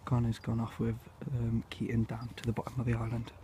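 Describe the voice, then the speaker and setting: A man's voice: mid-range voice, completely flat delivery, fairly quick. A teenage boy vlogging a camping trip outdoors into a handheld phone, rambling with lots of fillers. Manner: rambling, filler-heavy, hushed at night. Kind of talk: casual camping vlog. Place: outdoors, woods by a lake. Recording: phone outdoors with wind noise.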